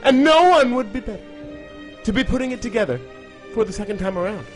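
A man playing a grave, overwrought reciter of sitcom theme lyrics, slow and emotive, in a mock-dramatic reading. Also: high voice, expressive, faint music behind. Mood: soaring, emotional. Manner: slow, grandiose, emotive delivery with drawn-out phrases.

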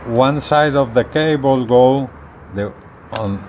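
A man in his sixties walks through a piece of equipment in a casual, plain-spoken way. He talks slowly, at a middling pitch, with halting phrasing. It is recorded outdoors on a balcony, with noticeable ambient noise behind him. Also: darkish tone. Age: 60s. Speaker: gadget reviewer. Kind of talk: casual product demonstration, explaining step by step.

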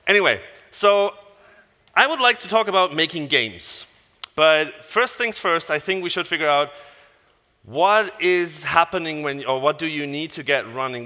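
Bright-voiced German man in a reverberant hall. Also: on the high side. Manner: animated, joking, casual swearing. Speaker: conference speaker. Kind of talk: informal tech talk to an audience. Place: large conference hall stage. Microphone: headset.

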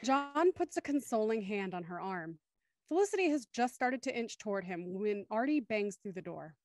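A woman's voice: medium pitch, lively intonation, moderate pace, a little mellow, no room sound, studio quality. A young woman reading scripted narration for an audio drama.

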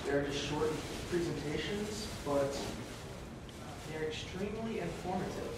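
A man's voice: on the high side, unhurried. A man in his thirties giving an informal, rambling presentation from a podium in a meeting room, picked up by a distant camera. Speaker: presenter at a meetup. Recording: very echoey room, distant noisy camera mic.

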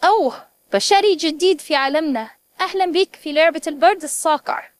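A man's voice: extremely high pitch, fast, no echo, voice pushed up. A man voicing a teasing cartoon game-world creature, theatrical, through an AI voice changer. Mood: teasing welcome. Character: strange cartoon game-world creature greeting and taunting a newcomer. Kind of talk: amateur cartoon dub.

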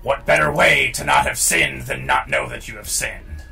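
A man's voice: medium pitch, lively intonation, normal speed, creaky, sharp tone. A man hosting a gaming show with casual, opinionated commentary, emphatic and prone to swearing. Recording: distant mic, game music underneath.

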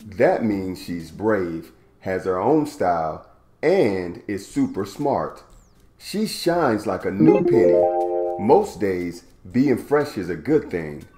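Deep, animated man reading a picture book. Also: slow pace, soft background music. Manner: animated, playful, stretches words for young listeners. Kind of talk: children's read-aloud. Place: indoor room at home.

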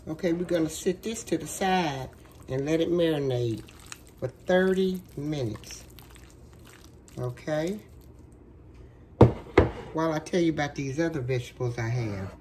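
A woman walks viewers through a recipe in her home kitchen, speaking slowly in a lowish voice. Her voice is worn from a cold, and she talks in a chatty, folksy way with plenty of fillers. A faint hum of kitchen noise sits behind her. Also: darkish tone. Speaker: cooking show host. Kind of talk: casual cooking demonstration.

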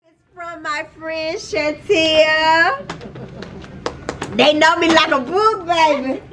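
A young woman, excited and laughing as she opens birthday gifts and reads cards aloud. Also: very high-pitched, slow pace, slight background noise. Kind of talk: casual vlog chat. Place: restaurant party room. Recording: busy room with party crowd. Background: party crowd chatter.

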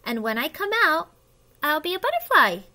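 Casting: woman playing vain young female caterpillar in a picture book; raised, bright, animated and self-important. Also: high-pitched, normal speed, voice pushed up, heavily acted. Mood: assertive. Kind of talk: children's storybook read aloud.

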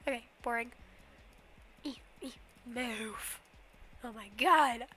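A woman's voice: on the high side, slow, bright, forceful tone. A young woman streaming a game from her bedroom, rambling casually and humming silly nonsense sounds, a little sniffly from a cold. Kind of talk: casual livestream commentary while playing.

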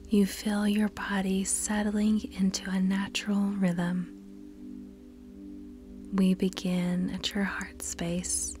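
A woman leads a guided meditation over clearly present music. Her delivery is extremely slow and measured, with pauses between instructions. The voice is soft and breathy, often slipping toward a whisper, and stays very even in pitch. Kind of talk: scripted guided meditation narration. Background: background music.

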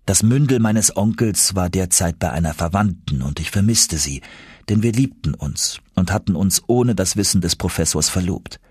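A man's voice: low voice, lively intonation, moderate pace, a little mellow, breathy edge, dry recording, clean studio sound. A man reading scripted first-person literary narration as an audiobook narrator.

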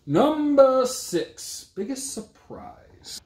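A man's voice: extremely high pitch, big pitch swings, drawn-out words, darkish tone. Blunt man in an echoey room. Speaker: book reviewer and co-host of a book vlog. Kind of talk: casual chat about books. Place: small indoor room at home with a bookcase. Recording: roomy, echoey home camera sound.

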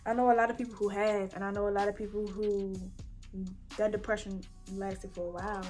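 A young woman in her twenties chatting casually and warmly while she does her makeup, her nose stuffy from allergies. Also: medium pitch, flat delivery, a little fast, soft background music. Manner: chatty, heartfelt, colloquial. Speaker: beauty vlogger. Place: bedroom, indoors.

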